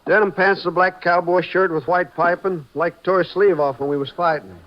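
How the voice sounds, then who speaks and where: An actor playing a folksy small-town Texas cafe owner in a 1950s radio drama, with a Texan accent. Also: male voice, high-pitched, fairly even intonation, moderate pace, lightly acted. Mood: shaken, regretful. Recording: noisy, muffled old-time radio recording.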